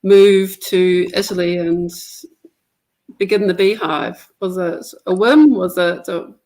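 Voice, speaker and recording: A woman hosting a casual interview over a video call, friendly and quick to laugh along. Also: on the low side, a little mellow, rough recording. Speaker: interviewer, host.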